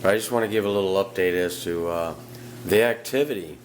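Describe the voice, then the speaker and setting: A man with a hoarse, sick-sounding voice, casually narrating footage of his aquarium at home with fillers and restarts. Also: sits low, a little fast, roomy sound, slight background noise. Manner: relaxed, rambling, with fillers and restarts. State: hoarse from illness. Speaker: aquarium hobbyist channel host.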